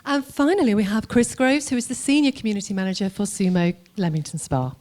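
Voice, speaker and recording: A woman's voice: medium pitch, quick pace, a little mellow. Woman moderating a stage panel, chatty. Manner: chatty, restarts often. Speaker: moderator and lecturer.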